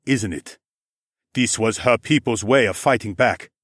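Casting: male reader as serious, formal Korean elder brother, low deliberate voice, dry recording, audiobook fiction. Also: a bit bright, no echo. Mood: grave.

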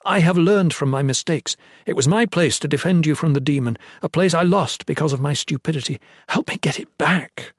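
A man's voice: medium pitch, a little fast, no echo, studio quality, lightly acted. A man voicing a distressed, contrite young male protector who pleads in formal, courtly wording for a storybook. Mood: desperate begging.

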